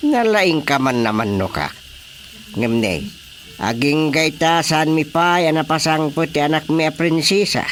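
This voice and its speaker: Slow, worried royal father, Filipino accent. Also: fairly high pitch, lively intonation, a bit bright, a little hiss or hum. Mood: questioning. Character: worried royal father awaiting his princess daughter. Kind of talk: comedic radio drama acting. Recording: radio drama with faint animal ambience.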